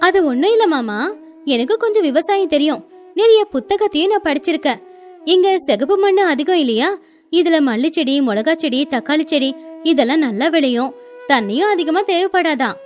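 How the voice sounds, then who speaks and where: Lady doing a sweetly earnest young daughter-in-law in a village cartoon, high voice, pleading and respectful. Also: lively intonation, normal speed, faint music behind. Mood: confident, respectful.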